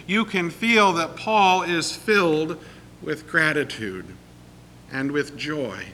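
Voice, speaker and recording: A male pastor preaching a sermon in a slow, deliberate way. He is getting over a cold and sounds a little croaky, clearing his throat now and then. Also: a little high, bright, forceful tone, a little hiss or hum. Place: church sanctuary. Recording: long reverb of a church sanctuary.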